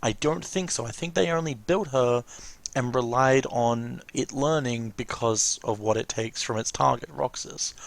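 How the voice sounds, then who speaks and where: A man's voice: medium pitch, no echo. A man giving casual, rambling, enthusiastic reaction commentary as a let's play host.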